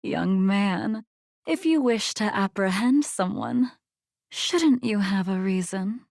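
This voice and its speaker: An actress voicing a calm, solemn swordswoman in a video game, with a cool, measured, formal delivery. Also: mid-range voice, vocal fry, no room sound, clean studio sound. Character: calm, solemn, veiled swordswoman of great composure. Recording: music behind the voice.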